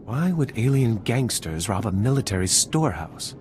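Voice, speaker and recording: Game role: nervous scientist, fairly low voice, fretful, clear ambience behind. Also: male voice, big pitch swings, moderate pace, noisy background. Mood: disbelieving. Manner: flustered, fretful. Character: flustered, anxious male scientist. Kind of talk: video game voice acting.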